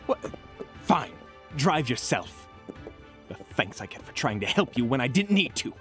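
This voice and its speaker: A man's voice: medium pitch, very animated, measured pace, a bit bright, soft background music. A man playing a prickly, sarcastic male mentor in a fantasy audio roleplay, speaking in a dry, easily offended way.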